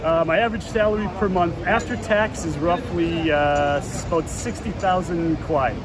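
A man, answering a street interview on a lapel mic, relaxed and thoughtful with many fillers. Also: a little high, normal speed. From Boston, USA. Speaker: interviewee, marketing worker. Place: city street outdoors. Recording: outdoor street with loud traffic noise.

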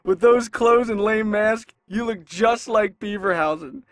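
An actor playing a cocky, bullying young skater ringleader in a video game, brash and mocking. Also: male voice, high-pitched, measured pace, no room sound, clean studio sound. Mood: scornful, scheming. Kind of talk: video game cutscene acting. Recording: clear street and traffic noise.

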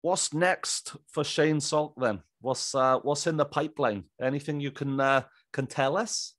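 A man's voice: a little high, steady tone, unhurried, fairly bright tone, dry recording, studio quality. A British man in his thirties hosting a podcast in an informal, matey, chatty way. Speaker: podcast host. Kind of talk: casual interview chat. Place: small indoor room, on a video call. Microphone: studio microphone on an arm, close.

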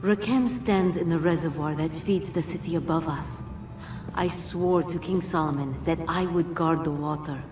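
A woman voicing a solemn, regal, ancient female djinn in a video game, speaking in a measured, formal way. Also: sits low, natural voice. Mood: wistful, grave. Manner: measured, formal, grave delivery. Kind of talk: dramatic video game cutscene acting. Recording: roomy echo, distant, music underneath.

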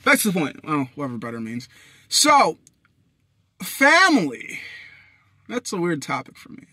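A young man from Haiti, talking into his phone in a parked car at night, blunt and profane, swinging between loud bursts and low mutters. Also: wildly swooping pitch, a little slow, no room sound, clean studio sound. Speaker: vlogger. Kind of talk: rambling personal monologue. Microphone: phone camera at arm's length.

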